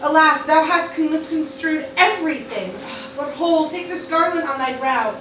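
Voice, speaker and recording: A woman's voice: high-pitched, normal speed, a bit bright. A woman playing an earnest, grieving teenage girl as a Roman soldier, lamenting dramatically in an amateur Shakespeare radio parody. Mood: grief-stricken, resolute. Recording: very echoey, distant mic, faint noise.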